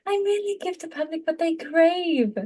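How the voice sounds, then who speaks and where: A woman playing a gossipy, self-satisfied witch journalist, drawling with dramatic pauses and changes of tempo. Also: extremely high pitch, mellow, pitched above the performer's own voice. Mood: sly, coy.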